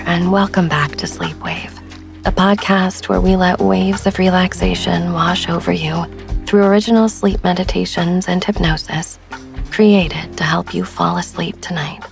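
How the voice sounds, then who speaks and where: Female sleep podcast host: low, gentle and breathy with a crackle of fry, soothing guided-relaxation read. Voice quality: soft, breathy. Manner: gentle, soothing, unhurried delivery. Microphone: close studio microphone, dry.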